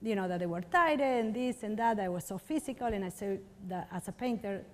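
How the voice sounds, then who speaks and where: A woman sculptor tells stories casually in a halting, word-searching way. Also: medium pitch, long reverb tail. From Argentina. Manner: rambling, halting, searching for words. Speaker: stone sculptor. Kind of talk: casual interview, storytelling.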